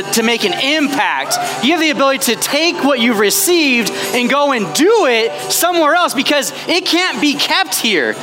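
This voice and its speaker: A man preaching as a priest to a retreat crowd, impassioned and chatty, with many fillers. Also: extremely high pitch. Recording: long hall reverb, faint background noise.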